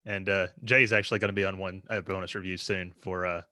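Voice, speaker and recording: A man's voice: low voice, level tone, quick pace, pushed and bright, no echo, studio quality. A man co-hosting a podcast in casual conversation. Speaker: podcast co-host. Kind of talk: casual chat.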